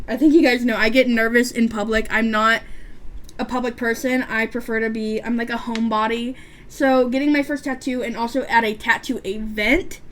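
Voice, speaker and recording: A woman's voice: on the high side, fairly bright tone. Chatty young woman with a lisp. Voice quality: stutter and lisp. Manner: rambling, chatty, frequent restarts and fillers. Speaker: beauty vlogger. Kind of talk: casual chat while doing makeup.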